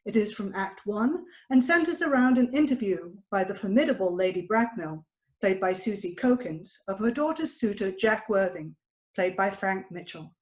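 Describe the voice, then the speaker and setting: A woman's voice: medium pitch, expressive, normal speed. A woman presenter reading a scripted introduction.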